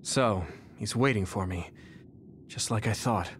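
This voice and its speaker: A man's voice: on the low side, a little slow, slight background noise, close to an everyday voice. An actor playing an earnest, determined young male soldier. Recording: game cutscene with music behind.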